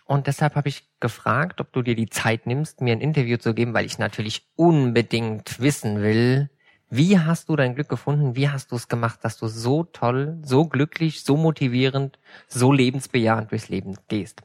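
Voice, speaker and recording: A man's voice: mid-range voice, moderate pace, darkish tone, dry recording, clean studio sound. A man hosting an interview podcast, talking in an enthusiastic, gushing way and laughing often. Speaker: podcast host.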